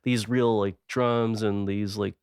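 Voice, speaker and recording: A man's voice: fairly low pitch, steady tone, slow pace, darkish tone, clear, resonant tone, no room sound, clean studio sound. A man in a casual podcast chat, giving his opinions in loose, slangy phrasing. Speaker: podcast panelist.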